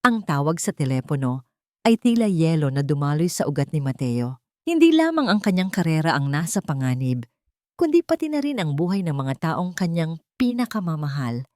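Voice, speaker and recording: A woman's voice: low-pitched, big pitch swings, normal speed, darkish tone, no room sound, clean studio sound. A woman reading scripted dramatic story narration.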